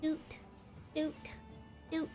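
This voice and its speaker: A woman's voice: extremely slow, music in the background. A young woman chatting casually while streaming a game, giggly and rambling, with a stuffy, congested nose. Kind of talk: casual gameplay chat.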